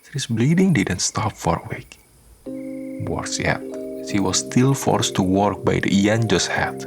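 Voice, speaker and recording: Slow, very deep-voiced male narrator. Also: animated, music in the background. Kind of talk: scripted radio drama narration.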